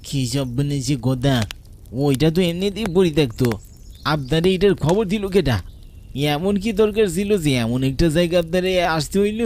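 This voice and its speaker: A man's voice: on the high side, expressive, normal speed, darkish tone, slight background noise, close to an everyday voice. Folksy village tea seller played by a man, rural Bengali dialect. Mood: alarmed. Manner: folksy, cautioning. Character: humble, ominous village tea-stall keeper, an uncle. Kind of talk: horror cartoon acting.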